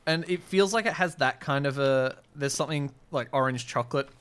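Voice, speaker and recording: A man's voice: medium pitch, brisk, a bit bright, clear, resonant tone. A man co-hosting a podcast, talking casually in a rambling, hesitant way with wry humour. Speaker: podcast co-host.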